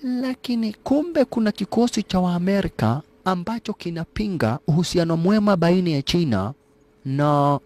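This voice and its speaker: A man's voice: sits high, normal speed. A man giving live, jokey voice-over narration of an action film, mixing slang and English. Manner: lively, jokey, mixes Swahili slang with English. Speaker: movie narrator. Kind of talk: live Swahili movie voice-over commentary.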